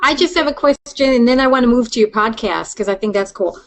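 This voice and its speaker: A woman's voice: a little high, quick pace, low-quality recording. A woman hosting a podcast interview, asking questions in a casual, chatty way.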